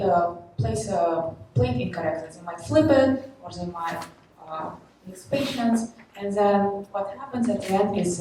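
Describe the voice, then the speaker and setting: A woman's voice: mid-range voice, warm tone, a little hiss or hum. A young woman from Kazakhstan giving a conference talk, explaining with many fillers, recorded from a distance in an echoey hall. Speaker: presenter.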